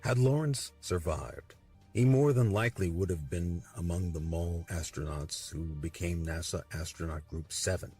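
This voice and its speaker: A man's voice: low voice, normal speed, warm tone, breathy edge. A man reading scripted documentary narration. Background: background music.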